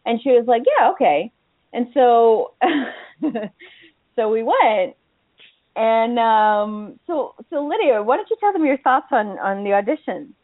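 A woman's voice: a little high, animated, unhurried, long pauses, no echo, narrow, tinny audio. A woman from Boston with a Boston accent, hosting a radio show in casual chat, laughing often and restarting her sentences. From Boston, USA.

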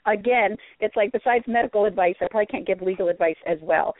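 A woman on a radio show as a psychic medium guest, giving spiritual advice. She speaks in an earnest, reassuring way at a middle pitch. Her voice comes through a dry, tinny phone line. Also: no room sound. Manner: earnest, advising, quick to reassure.

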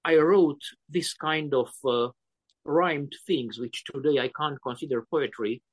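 A man in his fifties with a Romanian accent, answering interview questions slowly and haltingly, often pausing to search for words. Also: a little high, slightly rough, dry recording. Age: 50s. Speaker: poet guest.

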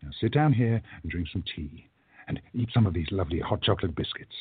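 Man playing husky, low-voiced time-travelling hero. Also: animated, no echo, heavily acted. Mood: soothing. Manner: measured, firm, hushed at times. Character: calm, protective male time-travelling bookshop owner. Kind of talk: radio play acting. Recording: narrow muffled phone-like audio.

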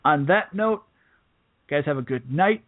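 A man hosting a sports podcast, giving casual solo game preview commentary in a halting way, restarting phrases as he goes. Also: on the high side, lively intonation. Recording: muffled phone-like audio.

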